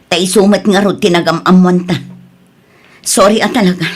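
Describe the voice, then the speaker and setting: A low, husky female voice with an Ilocano accent, a woman acting it out as a sick and stubborn radio-drama character. She is weary and plaintive, resigned to her troubles. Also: steady tone, moderate pace, lightly acted. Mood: sad, resigned. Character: ailing, stubborn, weary woman hiding heartbreak.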